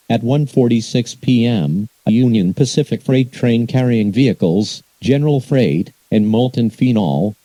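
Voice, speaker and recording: Very dark-toned male emergency alert announcer. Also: lowish voice, no room sound, rough recording.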